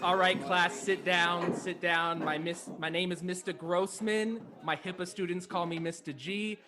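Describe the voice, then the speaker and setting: A man with a fairly high voice who plays his part in an improv comedy podcast. He stammers and rambles, piling up false starts before he lands a line. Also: steady tone, unhurried, noisy background.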